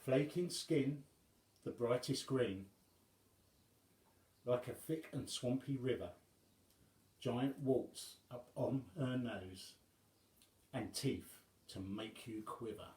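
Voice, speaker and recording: A man reading a rhyming children's story aloud as a storyteller, in measured phrases with dramatic pauses. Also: medium pitch, a little mellow, reverberant, low-quality recording.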